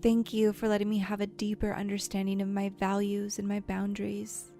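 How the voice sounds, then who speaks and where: A woman guides a visualization in a soft, mellow voice with noticeable vocal fry. She speaks gently and soothingly, leaving unhurried pauses and sometimes slipping into breathiness. Faint music plays underneath. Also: mid-range voice, completely flat delivery. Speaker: guided meditation host. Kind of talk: guided imagery meditation narration. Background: soft background music.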